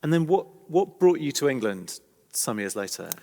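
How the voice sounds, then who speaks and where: A man's voice: on the high side, very animated, normal speed. Polite male interviewer, slightly raised voice, long church-hall echo. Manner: courteous, gently probing. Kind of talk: interview questions. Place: large church.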